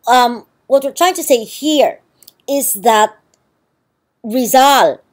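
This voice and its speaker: A woman's voice: a little high, takes it slowly. A woman with a Filipino accent giving a university lecture, explaining with frequent ums and checking okays. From Philippines.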